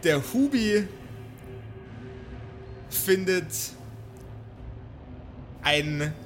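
A man with a fairly high voice runs an improvised tabletop game as its game master. He narrates in mock-formal storyteller phrases. Music plays clearly underneath him. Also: expressive, drawn-out words, a bit bright. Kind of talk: live improvised tabletop role-play narration. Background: prominent background music.

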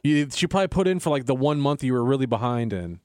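Quick guy bantering as co-host, dry close sound, no echo. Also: mid-range voice, animated, a little mellow, clean tone. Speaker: talk show co-host. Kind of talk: casual banter.

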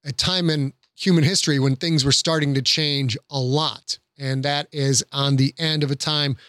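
Higher-pitched man, dry podcast guest. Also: fairly even intonation, normal speed, a bit bright, no room sound. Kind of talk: thoughtful conversational commentary.

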